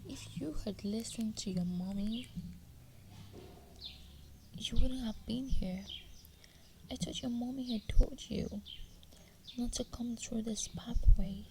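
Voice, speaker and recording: A cold, possessive forest witch, whispered by a woman in a slow, gravelly hush. Each word is drawn out over a steady hiss of outdoor noise with birds behind it. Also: mid-range voice.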